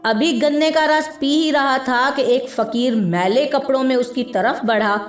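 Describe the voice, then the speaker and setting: High-voiced, slow-paced woman storyteller. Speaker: story narrator. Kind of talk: scripted narration of an Urdu moral story. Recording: echoey room with background music. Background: music.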